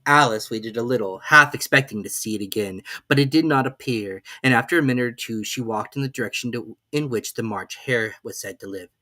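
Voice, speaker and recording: A reader giving an expressive scripted narration of a children's storybook. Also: mid-range voice, fairly quick, hardly any pauses. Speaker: audiobook reader.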